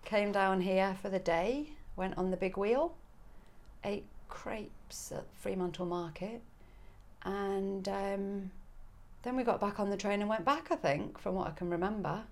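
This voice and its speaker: Slow, gravelly English woman priest. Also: mid-range voice. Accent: northern English. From Coventry, England. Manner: relaxed, chatty, laughs easily, many ums. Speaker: parish priest. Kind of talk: podcast interview.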